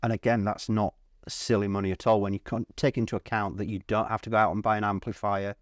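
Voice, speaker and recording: A man's voice: fairly low pitch, flat delivery, quick pace, no echo, clean studio sound. A man interviewing in a casual, informal chat, repeating words as he frames his thoughts and questions. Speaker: interviewer for a hi-fi channel. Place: at a hi-fi trade show.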